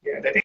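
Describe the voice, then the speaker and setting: A man, explaining in casual chat and rambling through restarts and fillers. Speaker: guest explaining teachings. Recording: dull lo-fi audio with faint hiss.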